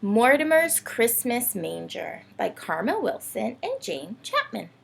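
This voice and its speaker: Woman, children's read-aloud host, heavy vocal fry, slow pace, lively swooping pitch, playful. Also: slight background noise. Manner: sing-song, animated, asks the listeners questions.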